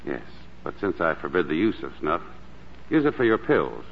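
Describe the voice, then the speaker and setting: Low voiced dry protective doctor, old 1940s radio play, muffled w/ some hiss. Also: male voice, big pitch swings, moderate pace, vocal fry, heavily acted. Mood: gentle. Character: wry, protective male doctor at a mountain sanatorium. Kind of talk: 1940s radio drama acting.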